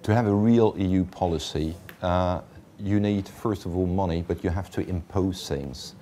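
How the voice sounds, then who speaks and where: Very deep-voiced male interviewer. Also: moderate pace, dark tone. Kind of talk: formal interview questions.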